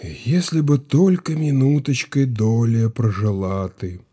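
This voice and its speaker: An actor playing a grieving, stern old Cossack chief in an audio drama, speaking with solemn, mournful authority. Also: male voice, medium pitch, steady tone, slow, mellow, breathy edge. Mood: hushed grief. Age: older.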